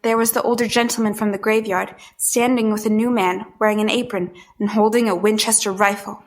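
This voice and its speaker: An actress playing a hunted woman who tells her story as tense, deliberate first-person audio drama narration. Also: medium pitch, steady tone, natural voice.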